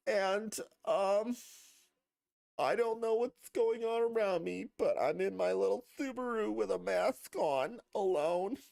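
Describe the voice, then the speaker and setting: A man putting on a high, mincing voice to mock a smug, simpering man in a sing-song way. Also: slow, vocal fry, a little mellow, full character voice.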